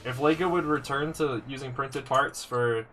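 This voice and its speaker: A man chats through a live build from his room, answering viewers' comments as he goes. His voice is bright and a little congested, and the pitch sits at an ordinary middle. Faint music plays in the background. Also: normal speed. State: slightly congested. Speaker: live streamer building sets. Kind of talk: casual live-stream chat. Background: faint music playing.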